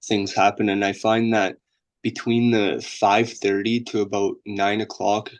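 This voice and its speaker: A young man from Bosnia chats as a guest on a video call, his low voice gravelly with fry. He talks in a flat, even line, rambling and checking in with "right?" and "you know what I mean?" as he goes. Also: measured pace. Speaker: guest trader. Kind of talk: casual interview over a call.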